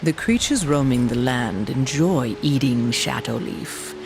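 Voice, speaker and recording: A man playing an aloof, bargaining quest giver in a video game, measured and formal with a gravelly edge. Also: medium pitch, animated. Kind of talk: video game cutscene acting. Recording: music behind the voice.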